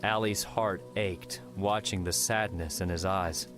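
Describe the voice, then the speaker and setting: A man's voice: low-pitched, fairly even intonation, measured pace, over music. A man narrating scripted fiction as an audiobook narrator.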